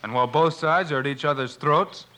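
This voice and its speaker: An actor playing a calm, shrewd heroic marshal with an American accent in an old-time radio Western. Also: male voice, a little high, a little fast.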